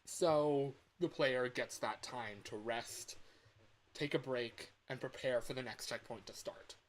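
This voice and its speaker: A man talks casually on a livestream as an amateur game developer. His voice sits at an average pitch for a man. He rambles in a loose, unscripted way, often repeating a phrase before moving on. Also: slow pace, low-quality recording.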